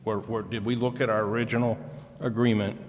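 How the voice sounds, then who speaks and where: A man questioning as a council member at a formal public meeting, with halting, roundabout explanations full of pauses. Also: fairly low pitch, flat delivery, a little hiss or hum. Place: council chamber. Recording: echoey hall with long reverb.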